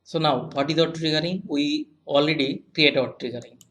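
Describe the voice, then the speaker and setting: Halting young man presenting a tutorial. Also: on the high side, a bit bright. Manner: halting, repetitive, simple non-native English phrasing. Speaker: web analytics tutorial presenter. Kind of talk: step-by-step software tutorial narration.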